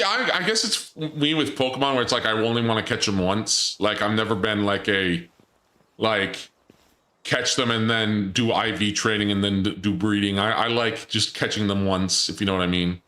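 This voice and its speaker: Bright-voiced man streaming a game. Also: lowish voice, moderate pace, roomy sound. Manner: chatty, joking, reads chat aloud. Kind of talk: casual gameplay commentary.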